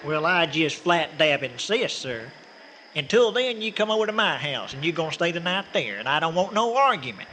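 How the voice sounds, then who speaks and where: A man's voice: a little high, very animated, normal speed, bright, forceful tone, slight background noise. An actor playing a folksy, bossy, good-hearted small-town man with a Southern American accent in a comic radio drama. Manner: folksy, insistent, talkative. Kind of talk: comic old-time-style radio drama.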